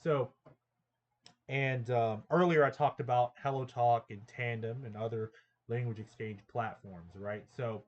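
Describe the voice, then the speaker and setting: A man in a casual vlog, explaining his routine in a loose, thinking-aloud way with plenty of ums and little "right?" checks. His voice sits at an ordinary middle pitch, and the recording sounds a little dull and lo-fi. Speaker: language learner sharing tips.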